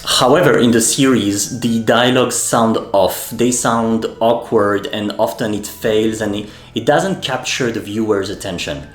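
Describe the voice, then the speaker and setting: A man, a native French speaker, hosting a video essay and speaking in a measured way, often pausing with fillers and restarting his sentences. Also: lowish voice. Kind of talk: spoken commentary essay. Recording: echoey room with faint music.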